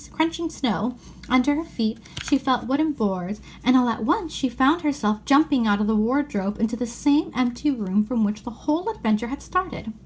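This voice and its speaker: A woman's voice: fairly high pitch, slight background noise, rough recording. A woman reading a children's story aloud, sometimes stumbling over a phrase and repeating it. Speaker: storybook reader.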